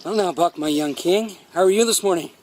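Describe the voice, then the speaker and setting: An actor playing a sly gardener turned dog thief in a radio drama, coaxing and theatrical, repeating himself. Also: male voice, high-pitched, a little fast, darkish tone, a little hiss or hum, close to an everyday voice. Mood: coaxing, friendly.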